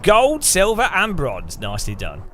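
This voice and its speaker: Chatty man with lively swings. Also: unhurried, soft background music. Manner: chatty, swings from loud sarcastic bursts to low mutters. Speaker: gaming streamer. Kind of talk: casual gameplay commentary.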